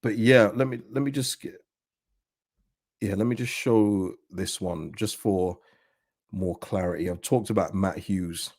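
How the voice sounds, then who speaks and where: A man with a slightly husky voice, hosting a casual football fan commentary. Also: fairly low pitch, measured pace, darkish tone, dry recording, clean studio sound. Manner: chatty, rambling, with frequent restarts and laughs. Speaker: football channel host.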